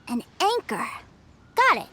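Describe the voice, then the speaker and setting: A woman's voice: high-pitched, noisy background, heavily acted. A woman voicing a small, curious, wide-eyed young boy in a video game, childlike and innocent. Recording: game music behind. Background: game music.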